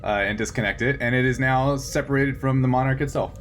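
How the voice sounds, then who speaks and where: A man working as game master, narrating a tabletop game in a halting, conversational way with frequent chuckles. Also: medium pitch, a bit bright, faint music behind.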